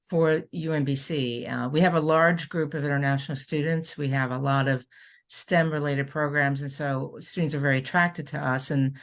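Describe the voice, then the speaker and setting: A woman's voice: low-pitched, steady tone, normal speed. A middle-aged woman, a university administrator, introducing herself on an online panel in a friendly, conversational way. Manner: friendly, conversational, some restarts. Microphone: video call.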